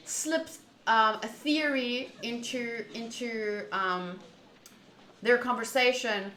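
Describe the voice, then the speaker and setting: A woman streamer chatting casually to viewers during a game playthrough, in an animated, opinionated and wandering way, often rephrasing mid-thought. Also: on the high side. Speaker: streamer playing a visual novel. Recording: echoey room, faint background noise.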